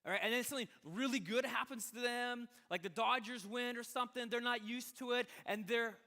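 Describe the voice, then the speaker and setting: A male pastor delivers a sermon in a high-pitched, bright and forceful voice. His manner is conversational yet emphatic, leaning on rhetorical questions. The sound carries the long reverb of a big hall. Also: brisk.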